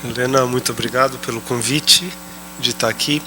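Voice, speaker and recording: Panelist, man, bright tone, unprepared and halting. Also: mid-range voice, steady tone, a little hiss or hum, poor audio. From São Paulo state, Brazil. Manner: hesitant, many "ah"s and restarts. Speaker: round-table panelist. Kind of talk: improvised talk at a round table.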